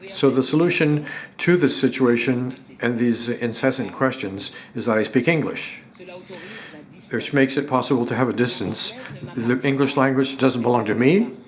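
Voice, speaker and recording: Guy doing live interpretation of a talk, middle pitch, stops and restarts a lot, repeats words. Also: moderate pace.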